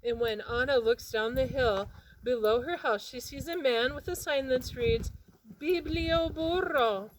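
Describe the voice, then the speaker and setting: A woman narrating a children's picture book expressively, with dramatic pauses and emphasis on words. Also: a little high, background noise. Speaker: read-aloud storyteller.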